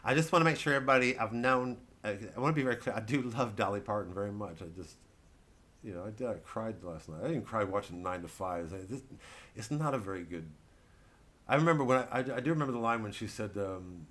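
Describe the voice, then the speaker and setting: A man's voice: fairly low pitch, quick pace, fairly bright tone. A male comedian delivering a rambling, sardonic rant, theatrical and profane, full of digressions. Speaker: satirical comedian.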